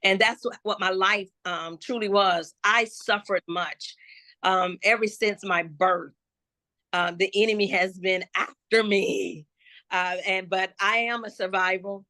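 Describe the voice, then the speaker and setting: Bright-voiced woman from Florida. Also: mid-range voice, steady tone, no echo. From Jacksonville, Florida. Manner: slow, reflective, with many restarts and fillers. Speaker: gospel hip-hop artist guest. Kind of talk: personal life testimony in an interview.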